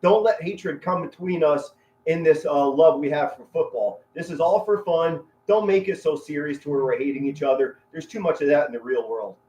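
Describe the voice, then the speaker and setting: A man hosting a live sports play-by-play stream, talking as a loud, excitable fan with dramatic, colorful phrasing and emphatic pauses. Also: a little high. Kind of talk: loud, excitable fan commentary mixed with chat. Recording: roomy, echoey, dull lo-fi stream audio.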